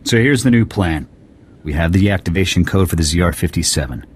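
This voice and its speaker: An actor playing a stern, gruff military commander in a video game, giving authoritative, clipped briefing orders. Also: male voice, low-pitched, expressive, brisk. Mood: stern, grave.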